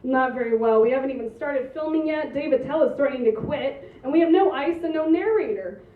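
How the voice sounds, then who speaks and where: Exasperated young female producer , played by a woman at a table read: high-voiced, impatient, distant tinny sound. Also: fairly quick, roomy sound, close to an everyday voice. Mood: businesslike, doubtful. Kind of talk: amateur script table read.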